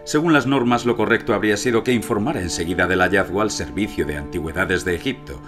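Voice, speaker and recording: An actor playing a seasoned undercover archaeologist in an audio drama, measured and dry. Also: male voice, on the low side, over music, close to an everyday voice. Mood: measured, narrating. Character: seasoned male archaeologist narrating his undercover hunt for looters. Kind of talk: Spanish audio drama narration and dialogue.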